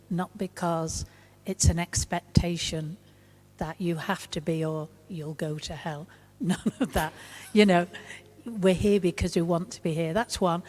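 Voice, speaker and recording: A frank woman with a lowish voice speaks on a church panel and drops in touches of dry humour. The big building gives her words a long, ringing tail. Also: darkish tone, in a large hall. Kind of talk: panel discussion answer. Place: large parish church.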